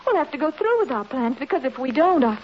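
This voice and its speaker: A spirited young heiress, brisk and sardonic, with a lively voice that rides a little high. The sound is a muffled old radio recording with clear hiss. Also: female voice. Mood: pleading. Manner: brisk, sardonic, then pleading. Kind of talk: 1930s radio acting.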